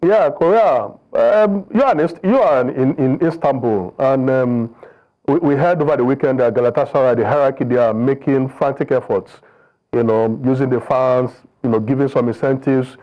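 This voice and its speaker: A male sports show co-host puts a long question to the guest, halting and restarting along the way. His voice is mid-pitched, and the sound is dull and muffled. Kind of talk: broadcast sports talk.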